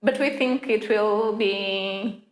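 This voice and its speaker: A Portuguese woman speaking English as a guest in a casual interview, thoughtful, pausing often with fillers and light laughs. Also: mid-range voice. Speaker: head of design, guest. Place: small office. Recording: roomy echo.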